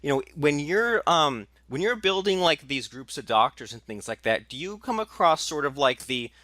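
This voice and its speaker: A man's voice: fairly high pitch, animated, moderate pace, bright, forceful tone, no room sound. A man hosting a marketing podcast, eager and chatty, packing his questions with fillers and restarts. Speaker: podcast host. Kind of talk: casual podcast interview.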